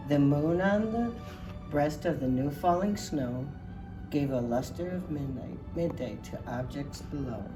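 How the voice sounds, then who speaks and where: Woman acting as Santa's wife, low voice, expressive unhurried storybook reading, distant mic, music behind. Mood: wondering. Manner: unhurried, expressive, addressing listeners directly. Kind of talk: storybook reading aloud to children.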